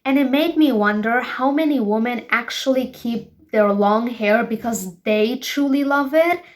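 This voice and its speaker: A woman's voice: fairly high pitch, measured pace. A woman in her twenties from Bangladesh hosting her own show, talking frankly and emphatically to her viewers. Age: 20s. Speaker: show host. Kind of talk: personal vlog monologue.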